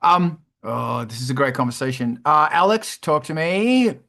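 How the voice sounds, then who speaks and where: A man with a fairly high voice and a bright, forceful tone. He hosts in a casual livestream style, chatty and effusive. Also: expressive, normal speed. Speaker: host.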